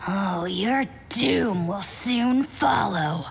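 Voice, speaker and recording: A woman's voice: medium pitch, lively intonation, very slow, gravelly, a loud crowd, exaggerated voice. A woman voicing a pompous, tiny ancient dragon in a video game, theatrical and scornful. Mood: indignant. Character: haughty, bombastic, tiny ancient dragon demanding worship. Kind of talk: video game character acting.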